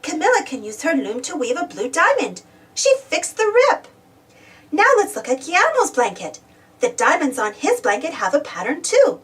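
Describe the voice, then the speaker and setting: Female storybook reader, high voice, very lively intonation, exclamatory, questioning young listeners. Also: moderate pace, distant mic. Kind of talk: animated children's read-aloud narration.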